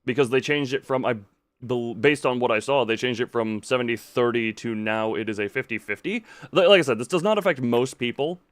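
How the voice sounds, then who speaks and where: A man's voice: medium pitch, a little fast, dry recording. A man giving casual livestream commentary on a game, rambling and breaking off his own sentences with wry asides. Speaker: video game streamer.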